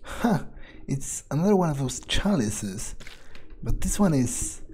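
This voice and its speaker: A man giving in-character game playthrough commentary, smug, sardonic and profane, musing haltingly into a close microphone. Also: mid-range voice, very animated, darkish tone, husky. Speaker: gamer role-playing his character.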